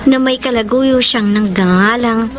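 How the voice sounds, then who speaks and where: An actress playing a hurt, betrayed wife in an emotional Filipino radio drama, speaking plaintively with a Filipino accent. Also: female voice, on the high side, unhurried, lightly acted. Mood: sorrowful narration. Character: Angel, hurt betrayed wife telling her story. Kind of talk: Filipino radio drama acting and letter narration. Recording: music bed behind voice.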